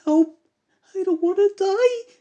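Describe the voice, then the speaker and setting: A terrified woman running from the undead, as a man puts her on, crying out for help in a shrill, desperate voice. The pitch sits far above his own. She sounds on the edge of tears. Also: slow pace, mellow, dull audio. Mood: terrified pleading. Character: terrified woman fleeing zombies, pleading.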